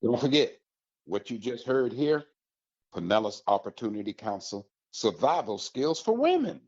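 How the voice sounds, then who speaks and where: A man's voice: medium pitch, very animated, moderate pace, darkish tone, slightly rough. A man hosting a radio show, giving an emphatic promotional talk straight to listeners in an upbeat style. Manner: emphatic, addresses listeners directly. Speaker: radio host. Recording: dull, slightly lo-fi audio.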